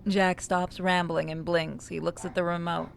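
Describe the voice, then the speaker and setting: A woman's voice: fairly low pitch, flat delivery, normal speed, creaky, background noise. A woman reading a visual novel aloud as narrator, mixed with casual commentary.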